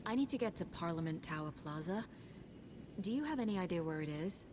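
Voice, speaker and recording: A woman's voice: fairly low pitch, animated, fairly quick, noisy background, natural voice. An actress playing a determined woman agent in a video game, speaking in cutscenes and inner monologue. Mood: concerned. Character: determined woman agent searching a nightmare world.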